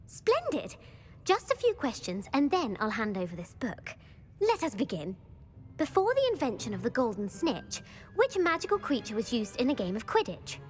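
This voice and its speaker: A woman's voice: on the high side, wildly swooping pitch, normal speed. A woman playing an eager, bookish schoolgirl quizmaster in a video game, precise and enthusiastic. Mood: delighted, excited. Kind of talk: video game character acting.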